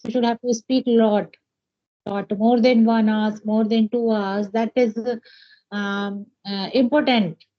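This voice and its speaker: A woman's voice: a little high, fairly even intonation, slow. Hesitant woman, muffled call audio. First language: Hindi. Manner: hesitant, many fillers and restarts. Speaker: English learner, homemaker. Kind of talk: casual conversation practice.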